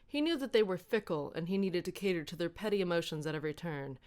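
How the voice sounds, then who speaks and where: A woman's voice: on the low side, expressive, fast, darkish tone. A woman reading scripted comic fan fiction aloud as its narrator.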